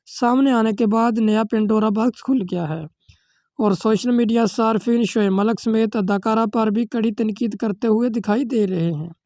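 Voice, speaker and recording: Woman, Pakistani accent, scripted news narration, high pitch, dark soft-edged tone, steady formal reading. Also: no room sound, studio quality. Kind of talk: scripted celebrity news voice-over.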